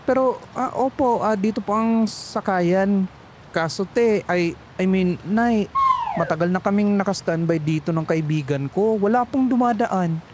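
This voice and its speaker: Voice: mid-range voice, a little slow, background noise, close to an everyday voice. A performer playing a sassy, flamboyant call center agent who complains in dramatic slang. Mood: startled, sassy. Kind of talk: horror story dialogue.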